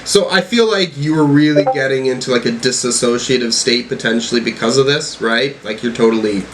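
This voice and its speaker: A man talks through an album reaction in a casual, rambling way. His voice sits at a medium pitch, with swearing and frequent check-in phrases, over faint background noise. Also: fairly quick, fairly bright tone, low-quality recording. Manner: rambling, profane, frequently checking with "right?" and "you know". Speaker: music reaction reviewer.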